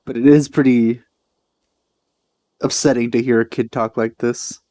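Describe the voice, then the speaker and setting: A man's voice: mid-range voice, steady tone, measured pace, mellow, no room sound. A man giving casual, musing let's play commentary on a video game, with empathy. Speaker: let's player.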